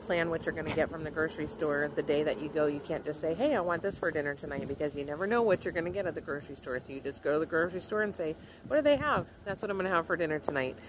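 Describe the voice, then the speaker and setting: Woman, 40s, low-pitched vlogger, casual chatty walkthrough, phone mic, loud background noise. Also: very fast. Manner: chatty, explaining with frequent ums. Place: outdoors in a parking lot, then inside a grocery store. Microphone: phone held at arm's length.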